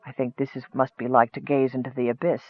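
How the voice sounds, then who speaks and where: Man reading as devout tormented cleric guy, earnest n pleading, fantasy audiobook, high-ish male voice, muffled. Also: fast talker, dry recording, lightly acted. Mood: awed, afraid. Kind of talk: audiobook character acting. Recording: muffled, dull lo-fi recording.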